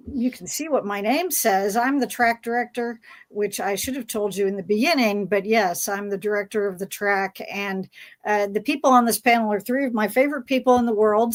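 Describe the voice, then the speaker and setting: A woman's voice: medium pitch, fairly bright tone. A woman speaking casually as a track director and moderator on a discussion panel.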